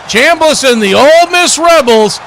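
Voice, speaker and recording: A man calling American football play-by-play live on a stream, excitable and speaking in punchy, clipped phrases. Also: extremely high pitch, normal speed, background noise. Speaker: play-by-play commentator on a livestream watch party.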